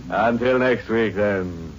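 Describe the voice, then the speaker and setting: An American actor playing a ghoulish, tongue-in-cheek radio horror host, sly and mock-mournful, with drawn-out phrasing. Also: male voice, lowish voice. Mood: ghoulish relish. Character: ghoulish, tongue-in-cheek male host relishing dark puns. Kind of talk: 1940s radio horror hosting. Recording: dull, noisy old radio recording.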